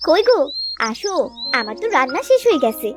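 Woman acting a cartoon housewife, high voice, lively intonation, nagging yet devoted, music behind. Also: a little fast. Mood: pleased, affectionate. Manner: scolding, coaxing, expressive.

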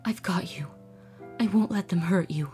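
A woman voicing a gentle, motherly female alien leader in a cartoon dub, hushed, soothing and protective. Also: lowish voice, moderate pace, soft background music, lightly acted. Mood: tender.

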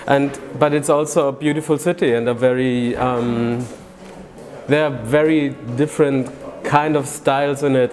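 A man's voice: mid-range voice, darkish tone. A young man, a film student answering interview questions in a thoughtful, rambling way. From Germany. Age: young adult. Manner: thoughtful, rambling, gesturing with his hands. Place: indoor corridor.

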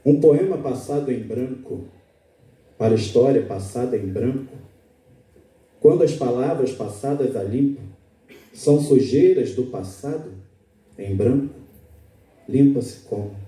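A man's voice: medium pitch, a little slow, long pauses. A Brazilian man in his thirties, a poet at a stand mic on a small auditorium stage, chatting loosely with fillers and then reciting a poem. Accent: Brazilian Portuguese. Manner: relaxed, talks with many fillers, then recites steadily. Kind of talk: poetry reading at a live open mic. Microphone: stand mic, recorded by a far camera. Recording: echoey auditorium, far from the mic.

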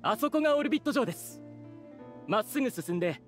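A woman voicing a courteous, gentle fairytale train conductor in a game, giving formal, attentive announcements over prominent music. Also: on the high side, animated, fast talker, lightly acted. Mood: polite, helpful. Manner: formal announcement delivery, polite. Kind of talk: Japanese video game voice acting.

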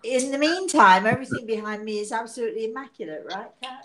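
A woman in her sixties, hoarse and coughing from illness, chatting and laughing on a live stream from her living room, talking into a tablet camera. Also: on the high side, expressive, fast talker, a crowd in the background. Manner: chatty, laughing, self-mocking. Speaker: psychic medium hosting a live show. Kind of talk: casual live-stream chat. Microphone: device camera at arm's length.

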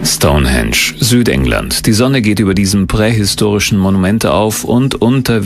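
A man reading scripted documentary narration in a measured, mysterious storytelling tone. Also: sits low, gravelly.

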